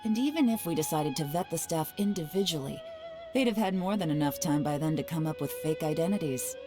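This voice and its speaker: A cool, low-voiced female spy. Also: expressive. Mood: calm. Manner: cool, measured, analytical. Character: calm, shrewd female spy, measured and secretive. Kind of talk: game voice acting. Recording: game audio with music under it.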